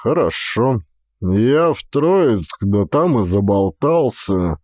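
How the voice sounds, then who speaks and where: A man's voice: fairly low pitch, big pitch swings, slow, darkish tone, no room sound, clean studio sound, pitched below the performer's own voice, exaggerated voice. A man voicing a stolid, sly peasant Cossack daredevil who plays innocent, with serious rustic folk speech, in a dramatised audiobook. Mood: earnest storytelling. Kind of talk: dramatised audiobook character acting.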